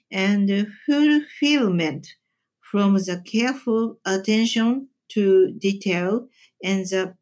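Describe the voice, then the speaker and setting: A woman speaking English with a Japanese accent, taking her time over each phrase. Her delivery is unhurried and deliberate, as if reading prepared answers in an interview. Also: medium pitch, a little mellow. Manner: slow, deliberate, measured phrasing. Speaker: temple chef and cooking teacher. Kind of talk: interview answers, carefully read.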